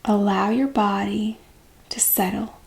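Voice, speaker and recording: Female meditation guide: very unhurried, calming coach delivery, mid-range pitch, gentle phrasing with long pauses. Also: vocal fry.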